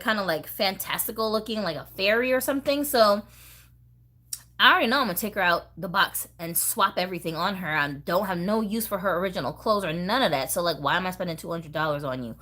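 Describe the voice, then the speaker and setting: Casual female vlog host, middle-aged, US East Coast, mid-range voice, expressive and slangy, talks straight to the viewer. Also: medium pitch. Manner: animated, slangy, expressive, addresses viewers directly. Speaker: host of a doll collecting channel.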